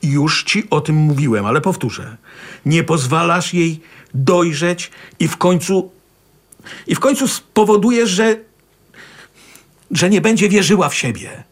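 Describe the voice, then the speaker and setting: An actor playing a middle-aged husband and father in a naturalistic radio drama, husky and reproachful, with pointed, probing questions. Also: on the high side, expressive, unhurried, pauses often. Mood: insistent, pointed. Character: calm, needling husband and father of a teenage daughter.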